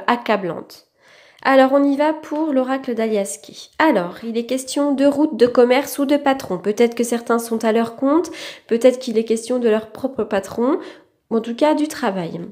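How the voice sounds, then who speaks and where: Female tarot host, higher-pitched voice, informal guidance delivery, reassuring and explanatory, frequently checking in with the listener. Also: a little mellow. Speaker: tarot reader, channel host. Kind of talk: informal spoken tarot card reading.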